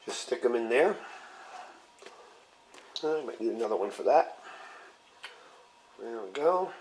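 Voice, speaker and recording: A man's voice: mid-range voice, measured pace. A man with a slightly scratchy voice, getting over a cold, hosting a casual cooking vlog and explaining each step as he works. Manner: relaxed, explains step by step while handling gear. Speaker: channel host.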